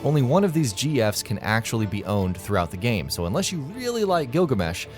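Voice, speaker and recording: A man's voice: mid-range voice, very animated, fairly quick. Male narrator for a scripted gaming countdown, middle register, light music bed. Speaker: narrator of a video game list.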